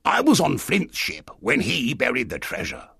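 A man voicing a half-crazy marooned old sailor in a storybook, with a strange, excitable, eager and wheedling way of talking. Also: medium pitch, gravelly, slightly rough, no echo, clean studio sound, pitched above the performer's own voice, heavily acted. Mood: eager, pleading. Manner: strange-voiced, excitable, drawn-out emphatic phrases. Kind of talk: dramatised storybook reading.

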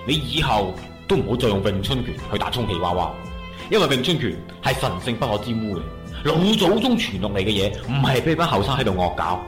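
A man's voice: on the low side, very animated, quick pace. Man acting stern, echoey triad boss. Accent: Hong Kong Cantonese. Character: stern, old-fashioned triad big brother, protective of sister. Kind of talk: internet radio drama acting. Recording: echoey, music behind.

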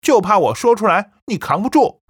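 Woman reading a scornful young son, dry close voice, lively swings in pitch. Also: normal speed, husky, dry recording. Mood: cold defiant. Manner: cold, scornful, lecturing. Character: defiant cold young man, grown son.

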